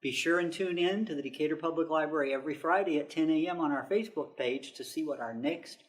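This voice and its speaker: A man's voice: fairly high pitch, fast talker. American man explaining simply to children. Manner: explains simply, with rhetorical questions. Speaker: children's librarian and storytime host. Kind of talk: educational talk for children. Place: public library children's section.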